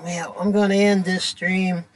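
A man's voice: high voice, little pitch movement, unhurried. A middle-aged man chatting casually as he hosts a fishing live stream, recorded outdoors on a small boat. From United States. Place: outdoors aboard a small sailboat at a dock.

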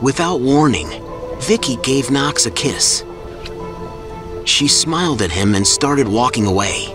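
A man's voice: mid-range voice, animated, a little slow, over music. A man narrating a scripted action story as the dramatic narrator of an audio drama.

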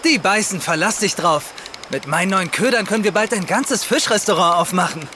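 High-pitched, brash, reckless angler. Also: male voice, a little fast, sharp tone. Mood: cocky. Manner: slangy, brash, dismissive. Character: reckless, boastful male institute employee and amateur angler. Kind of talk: German audio drama acting. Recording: clear outdoor ambience noise behind.

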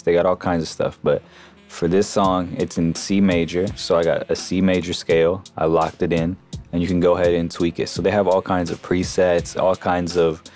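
A man giving a casual, informal software tutorial as a voice-over on a close mic. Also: sits low. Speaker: music production tutorial host.

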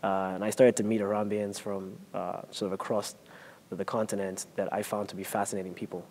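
A man's voice: little pitch movement, brisk, darkish tone. A young man from Nigeria, an MBA student, speaking earnestly to camera in a large elegant room, with some fillers. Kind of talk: testimonial to camera. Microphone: hidden mic, camera at mid distance. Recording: reverberant room, faint rumble.

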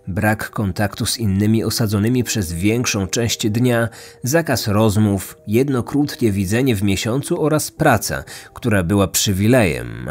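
Low-pitched man narrating true crime. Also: darkish tone. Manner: measured, serious storytelling. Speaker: podcast narrator and host. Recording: music bed under voice.

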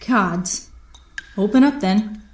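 A woman's voice: medium pitch, slow pace, natural voice. An actress playing a defiant, disgraced air officer in an audio drama, solemn and formal in her speech. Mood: guarded. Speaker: voice actor. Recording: echoey space with faint noise.

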